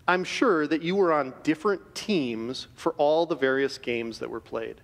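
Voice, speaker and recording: A middle-aged man giving an earnest, measured chapel devotion to students from a podium in a school auditorium. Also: fairly high pitch. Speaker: chapel speaker. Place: large school auditorium stage. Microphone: podium microphone. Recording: reverberant auditorium.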